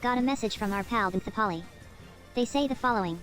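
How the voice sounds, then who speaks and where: A woman's voice: a little high, fast, a bit bright, low-quality recording. A woman reading out chat messages on a live game stream. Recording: faint music behind the voice.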